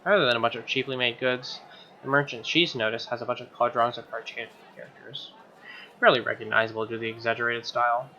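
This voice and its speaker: Guy reading a visual novel out loud, sharp bright voice, jokey asides, kinda lo-fi with music. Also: mid-range voice, steady tone, fast talker, a little hiss or hum. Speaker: let's play host. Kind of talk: visual novel read-aloud with casual asides. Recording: dull lo-fi sound, music behind.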